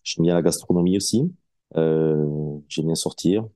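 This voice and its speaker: A man in his forties, a podcast guest chatting casually, relaxed and hesitant with many fillers and restarts. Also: deep voice, moderate pace, no room sound, clean studio sound. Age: 40s. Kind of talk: casual interview chat.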